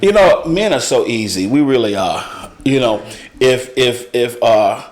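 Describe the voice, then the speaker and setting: A middle-aged man in a casual panel discussion, speaking haltingly and repeating his words as he thinks. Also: mid-range voice, lively intonation. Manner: halting, repeats words, often says "you know". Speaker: panelist on a talk show.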